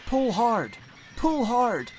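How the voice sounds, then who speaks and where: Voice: mid-range voice, very animated, very slow, a little mellow, a little hiss or hum, voice pushed up. A narrator voicing a willing, cheerful and helpful little male steam engine. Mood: cheerful, effortful.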